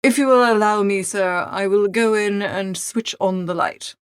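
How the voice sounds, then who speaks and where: A woman plays a coolly deferential male valet from a storybook. The delivery is formal, measured and reserved, never ruffled. The sound is close and dry, without any room around it. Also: medium pitch, smooth, dry recording, close to an everyday voice. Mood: calm, deferential. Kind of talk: storybook character reading.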